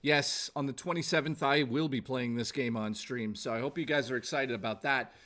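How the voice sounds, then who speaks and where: A man's voice: medium pitch, fairly quick, bright, forceful tone. A man in his forties chatting casually to viewers as a gaming livestreamer, informal and exclamatory, with some swearing. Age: 40s.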